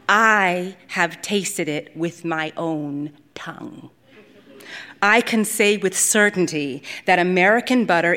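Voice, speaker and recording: A woman's voice: mid-range voice, fairly bright tone. A woman voicing a strong-willed, theatrical, stubborn woman in a short story, with an emphatic, declaiming manner.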